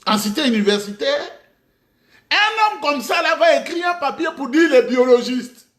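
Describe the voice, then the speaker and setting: A middle-aged Ivorian man with an Ivorian French accent and a very high voice delivers a mocking political monologue. His pitch swings widely as he leans on rhetorical questions and bursts of emphasis. The sound carries an echoey room around it. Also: animated, normal speed, fairly bright tone. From Côte d'Ivoire. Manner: mocking, rhetorical, with sudden outbursts and laughs. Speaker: political commentator.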